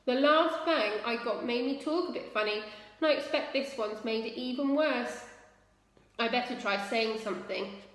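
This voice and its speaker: A woman's voice: a little high, moderate pace, a bit bright, close to an everyday voice. A woman voicing an earnest, plucky young male hedgehog in a storybook reading. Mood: pained. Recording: very echoey room with long reverb.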